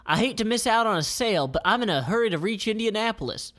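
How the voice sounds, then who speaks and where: A man's voice: high voice, animated, quick pace, fairly bright tone, voice pushed up. A man voicing a flustered, earnest young princess in disguise for a comic read-aloud. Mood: flustered, evasive.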